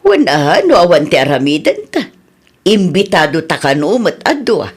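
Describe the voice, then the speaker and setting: Animated Ilocano auntie played by an actress. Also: female voice, lowish voice, moderate pace, husky. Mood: casual, chatty. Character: middle-aged village auntie, chatty and nosy. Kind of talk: Filipino radio comedy drama acting.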